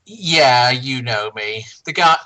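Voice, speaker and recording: A man chatting casually as a guest commentator, halting and stammering, often repeating his words. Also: mid-range voice, lively intonation, sharp tone, low-quality recording. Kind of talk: casual chat.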